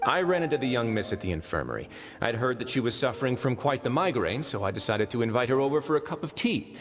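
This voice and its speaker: A man voicing a calm, shrewd prison lord in an anime game, wry, unhurried and politely threatening. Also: medium pitch. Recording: long reverb, music underneath.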